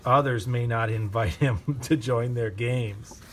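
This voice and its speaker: A man reading a children's picture book aloud with gentle, expressive storytelling. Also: mid-range voice, slow pace, a little hiss or hum. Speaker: reader.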